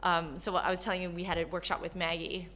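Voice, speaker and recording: A woman born in Malaysia who grew up in Canada, talking candidly and casually as an interview guest in an animated storytelling way. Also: lowish voice, quick pace, a little hiss or hum. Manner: animated storyteller, acts out quoted dialogue.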